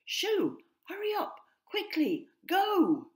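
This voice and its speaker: High shrill jackdaw wife, nagging, urgent, swooping storybook bird, put on by a woman. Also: huge pitch swings, slow, full character voice. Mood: urgent, bossy.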